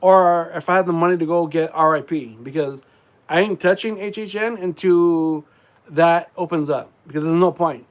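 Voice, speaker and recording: Congested male streamer with a slightly high voice, loose rambling delivery full of ums. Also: moderate pace. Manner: rambling, frequent fillers and throat clearing. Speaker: live streamer. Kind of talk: casual live stream chat.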